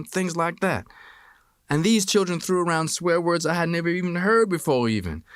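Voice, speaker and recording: An American man reading a first-person memoir as an audiobook narrator, with expressive, measured storytelling. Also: on the high side, no room sound, clean studio sound.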